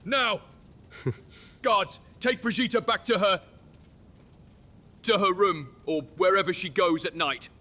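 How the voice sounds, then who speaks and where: A man playing a high, piercing flustered royal. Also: slow pace, long pauses, sharp tone, full character voice. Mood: exasperated. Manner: imperious, flustered. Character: exasperated royal giving orders to guards. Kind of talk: cinematic horror audio drama.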